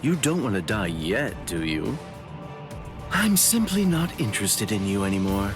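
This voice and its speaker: An actor playing a sly, mocking villain's lieutenant in an anime game dub, with a teasing, falsely casual drawl. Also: male voice, on the low side.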